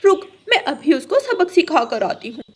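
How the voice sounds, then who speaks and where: A woman's voice: high voice, very animated, normal speed, slight background noise, voice pushed up. A woman voicing a fierce, spiteful mother crow in a children's cartoon, scolding and taunting. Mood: angry, taunting. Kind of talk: Hindi children's cartoon voice acting.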